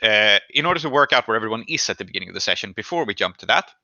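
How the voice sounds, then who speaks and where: A man working as game master, narrating a tabletop session in a halting, deliberate way. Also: fairly low pitch, animated, sharp tone, dry recording, muffled recording.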